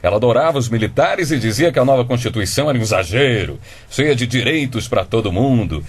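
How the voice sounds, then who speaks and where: A man's voice: medium pitch, expressive, fast, natural voice. An actor playing a stern, pedantic middle-aged father with a Brazilian accent in a comedic radio drama. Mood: matter-of-fact. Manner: pedantic, sardonic, exasperated.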